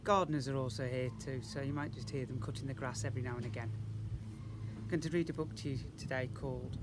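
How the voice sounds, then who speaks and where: A man, reading a children's storybook aloud outdoors in an expressive, deliberate way with drawn-out words. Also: medium pitch. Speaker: school teacher reading to young children. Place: outdoors in a school garden. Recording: outdoor recording with machinery noise.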